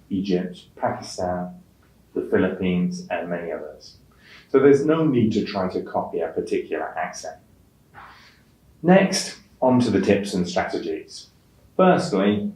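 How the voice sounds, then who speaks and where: A man teaching an English test-prep lesson in a measured, clearly enunciated way, stressing key words. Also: fairly low pitch, a little mellow. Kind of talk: instructional lesson narration with read-aloud examples. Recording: roomy echo, distant microphone.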